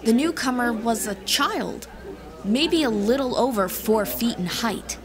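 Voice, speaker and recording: A woman's voice: on the high side, expressive, a little slow, crowd noise. A woman narrating a scripted fantasy audiobook as a storyteller. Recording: music playing behind.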